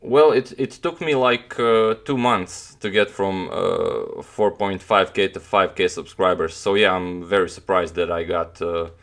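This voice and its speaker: Young Croatian man, deep voice, halting casual streamer chat. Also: a bit bright. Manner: hesitant, many fillers and restarts. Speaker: chess streamer answering chat.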